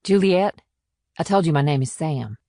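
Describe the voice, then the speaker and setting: Woman playing a low, husky, blunt policewoman. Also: expressive, moderate pace, dark tone, no echo, clean studio sound. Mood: dry, curt. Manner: blunt, irritable, salty-tongued. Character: gruff, groggy, sharp-tongued small-town policewoman who smokes. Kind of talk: audiobook character acting.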